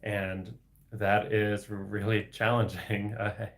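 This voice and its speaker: Low gravelly man with a heavy stutter. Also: flat delivery, slow pace. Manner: heavy stutter with repetitions and blocks, frequent soft laughs. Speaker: livestream host reading viewer questions. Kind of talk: casual solo livestream chat.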